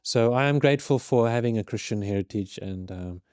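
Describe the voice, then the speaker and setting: A man's voice: mid-range voice, darkish tone, clean tone, dry recording. A man in his thirties with a South African accent, raised in Zimbabwe, speaking earnestly as a podcast guest in a studio. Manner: earnest, measured, with frequent restarts. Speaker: guest, ministry leader. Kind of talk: podcast interview.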